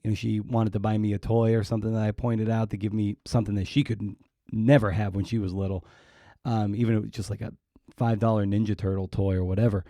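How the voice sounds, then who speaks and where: Middle-aged guy podcast host, really soft mellow tone, kinda halting, close dry mic. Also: fairly low pitch, flat delivery, dry recording. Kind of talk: casual interview chat.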